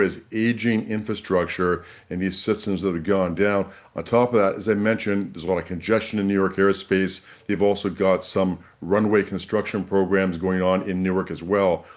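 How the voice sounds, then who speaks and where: A man speaking as an expert guest in a news interview, explaining things with emphatic repetition. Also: low-pitched.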